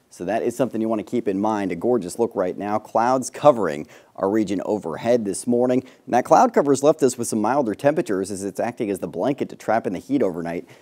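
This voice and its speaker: A man presenting a morning TV weather forecast with a steady, upbeat on-air delivery. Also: fairly low pitch. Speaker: TV meteorologist.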